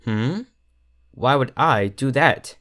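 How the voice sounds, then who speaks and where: A man voicing a polite, self-assured male cartoon pony who speaks in a measured, emphatic way. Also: medium pitch, lively intonation, fairly bright tone. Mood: puzzled.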